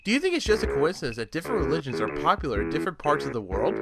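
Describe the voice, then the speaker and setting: A man's voice: fairly high pitch, big pitch swings, racing, vocal fry, loud music behind the voice. A man earnestly reading out a list of probing questions.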